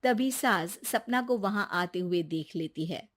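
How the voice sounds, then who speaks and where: A woman's voice: mid-range voice, lively intonation, unhurried. A woman narrating a scripted family story as its storyteller.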